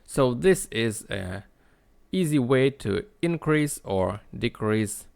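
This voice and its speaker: A man narrating a screen-recorded coding tutorial in a halting, explanatory way. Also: medium pitch, animated, gravelly, a little mellow. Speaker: tutorial host.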